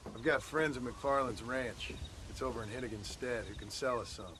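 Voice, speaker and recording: An actor playing a weary, gruff former outlaw turned rancher in a video game, terse and dry, with a rural American Western accent. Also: male voice, medium pitch, moderate pace, background noise, close to an everyday voice. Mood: stern, dry. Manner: terse, dry, plain-spoken. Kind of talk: dramatic video game acting.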